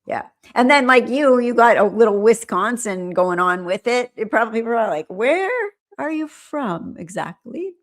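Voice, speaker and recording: A woman from the United States with a General American accent, hosting a livestream and chatting in a rambling, animated way. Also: on the high side, normal speed, hardly any pauses. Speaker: livestream host. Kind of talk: casual livestream chat.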